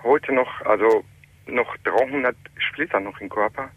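A man in his late thirties from Iran, calling in to a radio talk show and telling his story in halting, German. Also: mid-range voice, slightly rough. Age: 30s. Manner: halting, plain non-native German. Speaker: caller. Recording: muffled telephone line.

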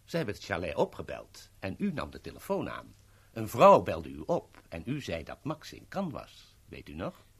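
An actor in a 1970s radio play voicing a smooth, sly henchman who negotiates with polite, teasing mock courtesy. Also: deep voice, huge pitch swings, moderate pace, full character voice. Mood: sly, courteous. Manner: polite, formal, teasing understatement.

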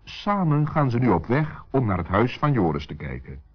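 A man's voice: mid-range voice, very animated, normal speed, husky. A man narrating a scripted children's story as a storyteller. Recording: dull, muffled old recording.

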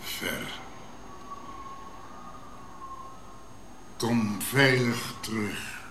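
An actor playing a grave, commanding Ashanti king and father in a radio drama, measured and authoritative. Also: on the low side, expressive. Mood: sad, grave. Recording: roomy sound with faint noise.

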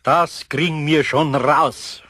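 A man's voice: fairly high pitch, steady tone, slow pace, pushed and bright, no room sound, exaggerated voice. A man playing a kindly, down-to-earth older master craftsman with a Bavarian accent in a children's audio drama. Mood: matter-of-fact.

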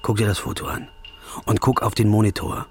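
A man's voice: fairly low pitch, little pitch movement, a little fast, slight background noise, close to an everyday voice. An actor playing a haggard, obsessive man searching for the truth, speaking tersely and narrating in a brooding voice-over. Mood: determined. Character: haggard male detective, hungover and obsessive. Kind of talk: film-style drama with voice-over narration.

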